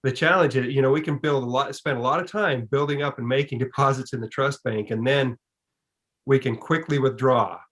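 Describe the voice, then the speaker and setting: A man's voice: medium pitch, brisk, fairly bright tone. A man speaking as a guest in an online presentation and Q and A, explaining in an emphatic, folksy way. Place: online video call.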